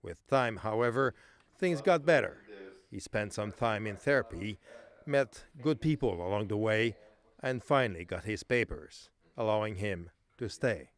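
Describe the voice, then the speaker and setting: A male reporter narrating a news feature in a measured, scripted voice-over. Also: on the low side. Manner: measured, even storytelling delivery. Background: music bed.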